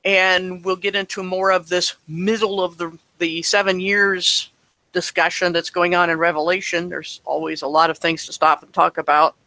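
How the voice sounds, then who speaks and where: Man in his late fifties, relaxed rambling talk, bright voice, dry sound. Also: high voice, moderate pace, no echo. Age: 50s. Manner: relaxed, rambling, chuckles often. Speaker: Bible study leader. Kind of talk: casual online group chat.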